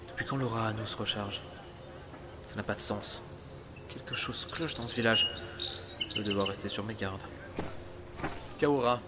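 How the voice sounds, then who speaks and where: An actor playing a seasoned, shrewd dimensional traveler in an audio drama, articulate and condescending, easily exasperated. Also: male voice, medium pitch, background noise, natural voice. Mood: wary, intrigued. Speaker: lead character of an audio drama. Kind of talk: French audio drama acting. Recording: music under the voice.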